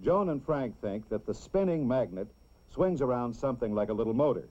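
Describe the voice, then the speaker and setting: Casting: male narrator for a children's instructional film, measured and explanatory, dull vintage soundtrack sound. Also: mid-range voice, lively intonation. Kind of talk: scripted educational film narration.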